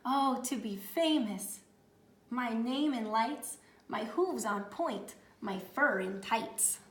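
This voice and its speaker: Dreamy little javelina girl, done by a lady reading, storybook style, sing-song and theatrical, highish voice. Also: expressive, slow, roomy sound. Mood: wistful. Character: dreamy young female javelina, aspiring ballerina singer. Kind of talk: children's storybook character voice.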